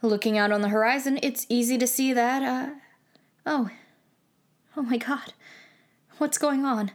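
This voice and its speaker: A high-pitched, playful female radio traffic reporter, played by an actress in an audio drama. She is flippant and theatrically wry, teasing her way through a chatty broadcast. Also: fairly even intonation, a little slow, lightly acted. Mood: mock alarm.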